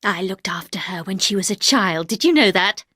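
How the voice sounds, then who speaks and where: Woman reader doing a harsh, cold older housekeeper; low, slow and accusing, dry close sound. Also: animated, no echo, lightly acted. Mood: bitter.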